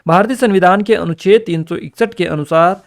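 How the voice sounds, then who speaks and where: A man's voice: high voice, brisk, vocal fry. A man teaching exam questions as a channel host, partly reading from notes, repeating key points and addressing students directly. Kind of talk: explanatory lesson, partly read from notes.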